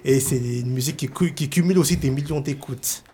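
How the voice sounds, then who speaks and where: Male guest from France, relaxed explainer, lots of "euh", mid pitch. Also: a little fast, slight background noise. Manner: hesitant, many fillers. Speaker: journalist and author guest. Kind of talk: casual talk-show chat.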